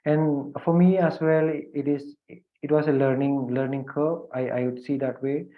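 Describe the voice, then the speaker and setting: A man's voice: medium pitch, steady tone, unhurried. Indian man on a tinny video call. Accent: Indian English. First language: Hindi or a regional language of India. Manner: hesitant, many fillers and restarts. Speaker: guest speaker. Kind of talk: video conference remarks. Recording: phone-like narrow video call audio.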